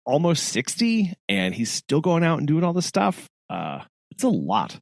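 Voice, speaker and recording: A man's voice: on the high side, wildly swooping pitch, a little slow, gravelly, no echo, clean studio sound. A man co-hosting a podcast in casual chat, rambling with many restarts and frequent laughs. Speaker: podcast co-host.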